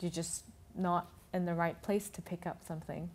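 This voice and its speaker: A woman's voice: lowish voice, fairly even intonation, moderate pace, darkish tone. A woman guest on a TV talk show, explaining her views in a thoughtful way with frequent restarts. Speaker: food and travel blogger, guest. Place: TV studio.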